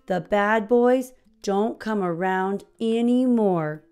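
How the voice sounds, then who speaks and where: American woman, thirties, children's storytime host, expressive storybook reading, unhurried pace, faint background music. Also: mid-range voice. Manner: expressive, clearly paced storytelling for small children. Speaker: host of a children's read-aloud show. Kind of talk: children's storybook read-aloud, addressed to young kids.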